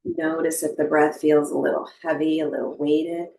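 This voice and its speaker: A woman teaching yoga nidra and breathwork, giving guided meditation instructions in a gentle, soothing manner with long pauses. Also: deep voice, level tone, vocal fry. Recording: roomy, echoing voice recording.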